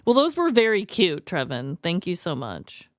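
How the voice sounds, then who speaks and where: A woman co-hosting a podcast, bantering casually with expressive, exclamatory reactions. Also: on the low side, moderate pace, no echo, studio quality. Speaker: podcast co-host.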